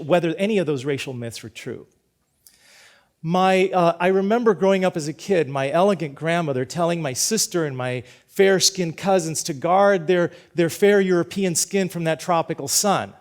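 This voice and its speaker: A middle-aged man from Cuba, a teacher, tells a personal story in an earnest, measured way. His voice sits a little above the usual male pitch. He restarts and repeats words as he goes. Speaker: teacher giving a talk. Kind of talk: prepared personal talk.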